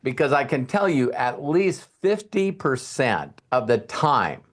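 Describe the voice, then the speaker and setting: A man's voice: mid-range voice, sing-song, measured pace. A man hosting a casual group call, giving frank, informal trading advice with some mild swearing. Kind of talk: casual coaching chat.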